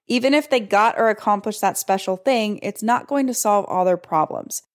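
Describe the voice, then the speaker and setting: A woman's voice: medium pitch, normal speed. A woman teaches fiction writing, speaking earnestly as she walks listeners through a story beat. Her tone is explanatory and direct. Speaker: writing coach. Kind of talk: teaching clip.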